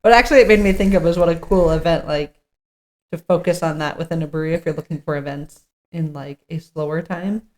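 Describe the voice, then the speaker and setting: A woman's voice: sits low, fast talker, a little mellow. A woman co-hosting a podcast, thinking aloud in an informal, musing way and reading out articles. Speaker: podcast co-host. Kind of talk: casual chat with read-out articles.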